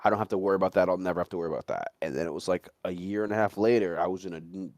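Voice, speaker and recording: Low voiced guy streamer just rambling, slangy and sweary, real dry close sound. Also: very fast, darkish tone, no echo. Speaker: livestream host. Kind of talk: casual chat.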